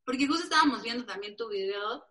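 An enthusiastic young woman interviews a friend, encouraging and animated. Her voice sits a little high. She sounds set back from the microphone, with a faint hiss behind her. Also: fast. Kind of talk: casual interview chat. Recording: distant mic with faint noise.

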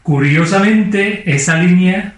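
A man's voice: high-pitched, slow pace, loud music behind the voice. A man co-hosting a casual chat, retelling listener stories in an easygoing way. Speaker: podcast co-host. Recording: very echoey room.

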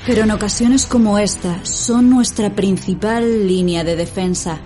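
Woman acting a mellow, opinionated audio drama character. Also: mid-range voice, measured pace, soft background music, lightly acted. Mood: solemn, earnest. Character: opinionated female fictional character, partner of her writer.

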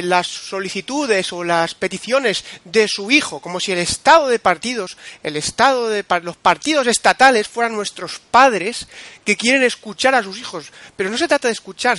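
Bright high-voiced male host, dry sound. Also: no echo. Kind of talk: casual political talk.

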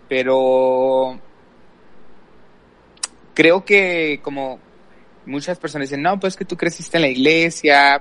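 A man's voice: medium pitch, fairly bright tone, rough recording. A man from El Paso, Texas, talking casually about himself and often thinking aloud. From El Paso, Texas, USA. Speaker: podcast guest, worship leader. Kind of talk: casual personal storytelling.

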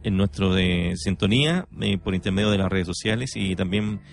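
A man's voice: deep voice, moderate pace, gravelly. A man hosting a radio show in unscripted chat, hesitant and often searching for words. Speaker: radio host. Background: faint music.

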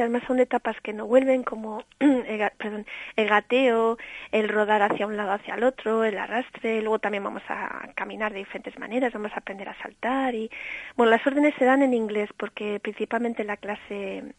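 A woman's voice: mid-range voice, steady tone, a little fast, no room sound, poor audio. A woman raised in Australia, director of an early stimulation center, explaining her methods in a radio interview with a patient, teacherly manner. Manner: explanatory, warm teacherly tone with diminutives.

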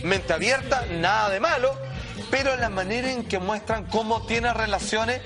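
A man with a Chilean accent presenting a talk show in an excitable, emphatic, slangy way, with theatrical build-up. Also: high voice, normal speed, music in the background.

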